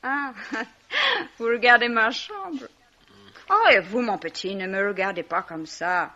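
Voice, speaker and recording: A woman's voice: on the high side, animated, slow pace, pushed and bright, muffled recording, heavily acted. An actress playing a bitter, self-pitying, drunken young woman with a slurred, theatrical delivery in a 1950s-style French radio mystery. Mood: tipsy, boisterous.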